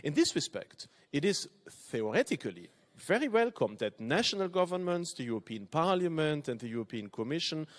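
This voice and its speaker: A man from Belgium whose first languages are German and French, giving a measured, formal opening address as panel chair. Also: on the high side. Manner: measured, formal, reads from a text. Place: conference hall.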